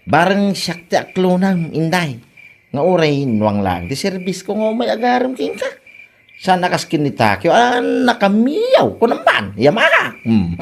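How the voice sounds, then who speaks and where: A woman's voice: fairly low pitch, huge pitch swings, creaky, a little hiss or hum, full character voice. Woman playing a bumbling lovestruck young man, wildly swooping voice. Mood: boastful, pleading. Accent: Ilocano. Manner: exclamatory, halting, bumbling. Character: naive, boastful young male suitor, comically lovestruck. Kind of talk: comedy audio drama acting.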